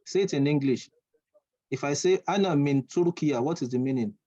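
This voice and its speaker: A man from Nigeria teaching Arabic over a video call, patiently repeating phrases slowly for his student to copy. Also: on the high side, dark tone, no room sound, highs cut off. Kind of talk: online language lesson.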